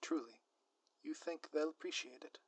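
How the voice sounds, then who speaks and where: A close, very dry recording of a man playing a theatrical male supervillain in an audio roleplay. His voice sits a little high for a man. He talks straight to the listener in florid, formal phrases, as a schemer who is secretly a doting, lovestruck spouse. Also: normal speed, no room sound, natural voice. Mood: tender, hesitant. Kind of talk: scripted ASMR roleplay, direct address to listener.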